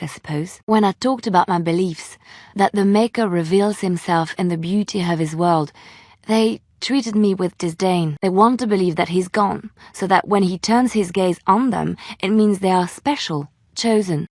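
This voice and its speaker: Gentle pious bard lady from a fantasy game, kinda low voice, earnest measured storytelling, super dry studio sound. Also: dry recording. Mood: wistful, earnest. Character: gentle devout former minstrel turned chantry sister, storyteller.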